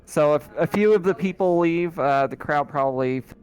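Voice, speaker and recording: A man running a tabletop roleplaying game as game master, narrating in a conversational, halting way. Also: a little high, darkish tone, rough recording. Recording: music playing behind the voice. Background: background music.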